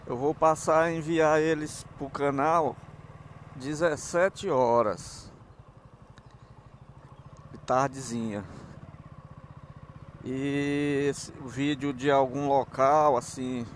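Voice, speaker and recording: A man's voice: mid-range voice, fairly even intonation, slow, long pauses. A man with a northeastern Brazilian accent chatting casually as he vlogs from a moving motorcycle, in a folksy, rambling way. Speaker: motovlogger. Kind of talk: casual vlog narration while riding. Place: outdoors, riding a motorcycle on town streets. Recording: motorbike and street noise. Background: engine and traffic sounds.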